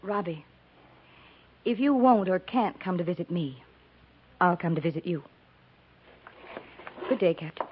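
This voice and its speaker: Breathy, anxious officer's wife. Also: female voice, lowish voice, expressive, a little slow, pauses often. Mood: concerned. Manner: soft, anxious, pleading. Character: concerned, earnest officer's wife. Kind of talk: 1950s radio drama acting. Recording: muffled 1950s radio recording.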